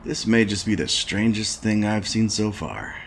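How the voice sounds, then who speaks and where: A man's voice: lowish voice, animated, a little slow, creaky, a little hiss or hum. A man voicing a skeptical, stubborn space station repairman in a noir adventure game, with a wry, questioning manner. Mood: matter-of-fact. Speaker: video game protagonist. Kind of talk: noir point-and-click game voice acting.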